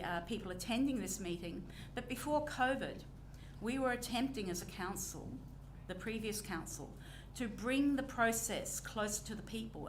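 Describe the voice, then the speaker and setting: Woman, Australian accent, council chair, measured delivery, noisy chamber recording. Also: medium pitch, a bit bright. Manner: measured, deliberate, with frequent ums. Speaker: council presiding member. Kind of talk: formal council meeting answers. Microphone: recording microphone.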